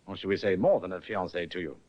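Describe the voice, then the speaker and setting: An actor playing a skeptical, probing police inspector who speaks in clipped questions, in an old radio drama. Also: male voice, deep voice, fast talker. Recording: muffled old radio broadcast.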